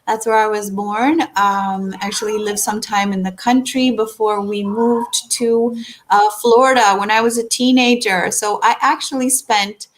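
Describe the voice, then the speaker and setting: A woman's voice: medium pitch, steady tone, unhurried. Upbeat woman from Chile, guest speaker. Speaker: guest speaker, startup ecosystem builder. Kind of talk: casual webinar interview.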